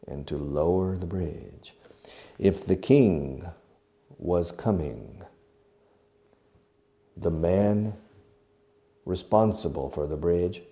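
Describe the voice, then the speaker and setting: A man teaching a beginner English lesson, explaining slowly and acting out each phrase, with short glosses in another language. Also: low voice, gravelly, mellow. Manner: slow, deliberate, demonstrative. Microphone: video recording.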